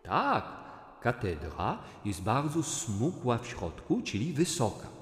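A man's voice: medium pitch, wildly swooping pitch, slow pace, echoey room, in a large hall. A man playing a kindly cathedral guide in a children's audio play, patiently explaining things to young visitors. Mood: calm, instructive.

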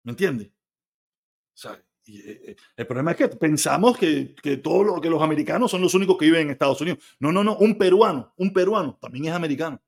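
Cuban man, high voice, blunt live-stream host, argues with chat, swears. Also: expressive, fairly quick, creaky. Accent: Cuban Spanish. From Cuba. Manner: blunt, argumentative, swears freely.

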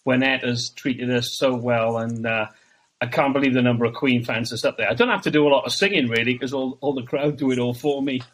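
A man's voice: medium pitch, steady tone, fairly quick, rough recording. A man from Wales, chatting casually as a guest on a podcast and laughing between his stories. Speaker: guest, tribute band singer.

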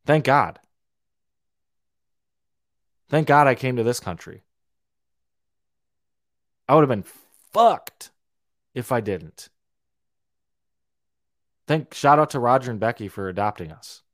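A man's voice: mid-range voice, lively intonation, a little slow, pauses often, no room sound, clean studio sound. A young man, born in Romania, hosting a podcast in a heated, swearing rant full of restarts and repeated words. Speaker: podcast host. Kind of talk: opinionated monologue.

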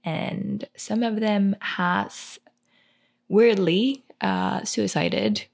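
A woman's voice: medium pitch, drawn-out words, gravelly, a little mellow. A woman co-hosting a podcast in casual chat, speaking hesitantly and searching for words. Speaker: podcast co-host.

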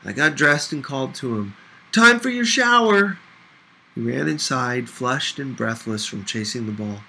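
Expressive male audiobook reader, bright voice. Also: medium pitch, normal speed, creaky, slight background noise, rough recording. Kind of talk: expressive scripted narration of a novel.

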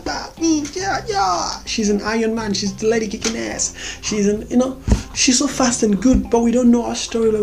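A Nigerian-accented vlogger giving casual movie recommendations in a chatty, excitable way, laughing often and repeating words. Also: medium pitch, slight background noise.

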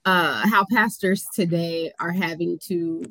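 A woman's voice: lowish voice, fairly even intonation, measured pace, pushed and bright, no room sound. A woman co-hosting a casual podcast, talking thoughtfully and hesitantly as she searches for words. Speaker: podcast co-host.

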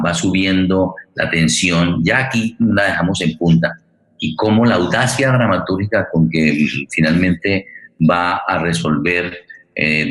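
A man moderating an interview forum, putting long questions in a deliberate, halting way as he searches for words. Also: sits low, fairly bright tone. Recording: roomy, echoing sound.

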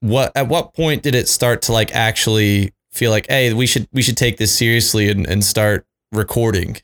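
A man's voice: on the low side, moderate pace, a bit bright. A man of thirty, hosting a casual interview and asking questions in a friendly, enthusiastic way. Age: 30s. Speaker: interviewer, podcast host.

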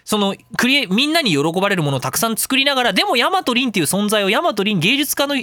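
A man hosting his own talk radio show, rambling in a casual, self-mocking way with rough speech. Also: extremely high pitch, very animated, racing, pushed and bright, no room sound. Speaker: radio show host and voice creator.

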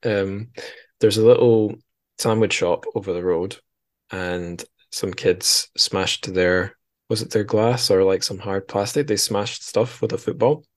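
A man's voice: on the low side, steady tone, a little slow. A man hosting a podcast in a casual book discussion, chatty and wry, rambling as he goes. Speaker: podcast host.

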